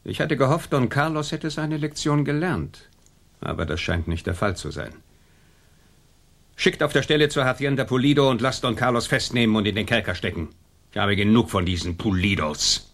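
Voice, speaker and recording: An actor playing an imperious, vengeful colonial governor in a German radio drama, speaking with haughty command. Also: male voice, medium pitch, animated, normal speed, fairly bright tone.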